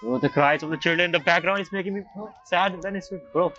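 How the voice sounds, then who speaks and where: High-pitched man reacting to a game. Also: fast talker, sharp tone, a little hiss or hum. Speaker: game streamer. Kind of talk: casual reaction commentary. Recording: dull lo-fi audio, game music behind. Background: video game music.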